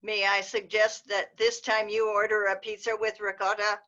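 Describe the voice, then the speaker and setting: A woman's voice: mid-range voice, level tone, normal speed, bright, forceful tone, lightly acted. A woman voicing a polite, all-knowing pizza order clerk in a readers theater skit over a video call. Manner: polite, unflappable and coolly formal. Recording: dull lo-fi video call audio.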